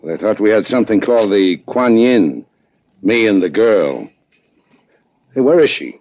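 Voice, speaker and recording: A battered, stubborn sea skipper in a 1950s radio drama. His very low voice is terse and hard-boiled, aching and tired. The sound is old and muffled. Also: very animated, measured pace. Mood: pained, weary. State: in pain, worn out from a beating. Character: tough, battered, stubborn male boat skipper. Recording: dull vintage 1950s radio recording.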